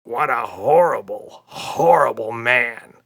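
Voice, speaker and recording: A man voicing a dour, worried little male monster who scolds in a slow, gravelly voice, for a children's storybook. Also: mid-range voice, lively intonation, a bit bright, exaggerated voice. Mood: queasy disgust.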